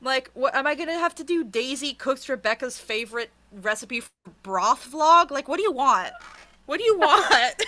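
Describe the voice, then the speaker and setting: A woman's voice: high-pitched, expressive, normal speed, bright, forceful tone. A woman speaking as an interviewed author in casual, chatty talk, candid and jokey with a self-deprecating streak. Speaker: author being interviewed about a crowdfunded book.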